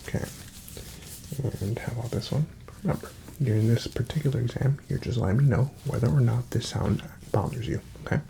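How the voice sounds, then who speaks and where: A man speaking softly and breathily, often near a whisper, in a gentle, reassuring ASMR doctor roleplay. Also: mid-range voice, slight background noise, low-quality recording. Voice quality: soft-spoken, breathy, often dropping to a whisper. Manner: gentle, reassuring, unhurried murmuring to the listener. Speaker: ASMR performer playing a doctor. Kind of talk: ASMR medical exam roleplay.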